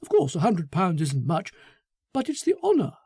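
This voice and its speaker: A man's voice: high voice, wildly swooping pitch, moderate pace, darkish tone, husky, no echo, studio quality, exaggerated voice. A man voicing an eager, self-satisfied, sentimental and patronizing thin man in an audiobook, prattling on effusively. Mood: gushing, pleased. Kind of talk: audiobook character acting.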